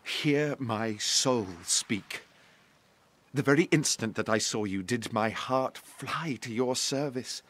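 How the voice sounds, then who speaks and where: Man playing a slow, lovestruck young suitor. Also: medium pitch, a bit bright, slight background noise. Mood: ardent. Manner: ardent, reverent. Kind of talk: Shakespearean romantic acting.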